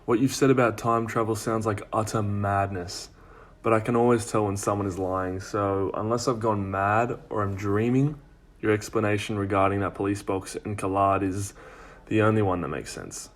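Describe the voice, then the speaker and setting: A man playing a level-headed, sceptical police detective with a dry, measured delivery in a fan audio drama. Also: fairly low pitch, fairly even intonation, natural voice. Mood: wry, calm.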